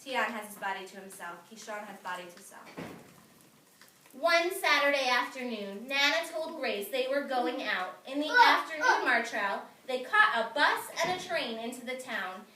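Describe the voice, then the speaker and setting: A woman teacher reading a picture book aloud to young children, expressive and emphatic, pausing to instruct the class. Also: high-pitched. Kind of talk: animated classroom read-aloud. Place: echoey indoor classroom. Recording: echoey room, distant mic, noisy, clicks.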